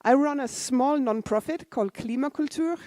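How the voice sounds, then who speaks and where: A woman's voice: sits high, normal speed, mellow, dry recording. Chatty Danish-born woman speaker, dry sound. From Denmark. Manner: chatty, self-deprecating, audience asides. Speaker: conference speaker. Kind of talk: casual conference talk. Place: conference hall.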